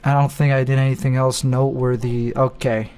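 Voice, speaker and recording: A man's voice: mid-range voice, level tone, a little fast, darkish tone, smooth. Young man rambling bluntly. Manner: self-mocking, blunt, loose and rambling. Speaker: podcast host. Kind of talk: casual rambling monologue.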